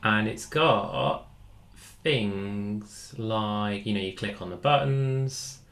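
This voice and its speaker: Bright-voiced man chatting informally. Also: fairly low pitch, very slow. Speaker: project facilitator and session leader. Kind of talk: casual chat, showing and explaining a demo.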